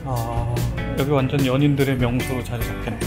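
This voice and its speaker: A man's voice: medium pitch, level tone, fairly quick, music in the background. A man touring a small garden cafe, asking questions and exclaiming over everything in casual chat. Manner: curious, full of exclamations and questions. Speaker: food vlogger visiting a cafe.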